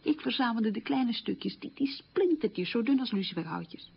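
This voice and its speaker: An actress playing a reflective middle-aged woman recalling wartime childhood hunger in a halting, emphatic radio monologue, with a husky, creaky voice. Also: fairly high pitch, animated, full character voice. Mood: wistful, emphatic. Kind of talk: radio play monologue, conversational reminiscence. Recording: dull lo-fi radio recording.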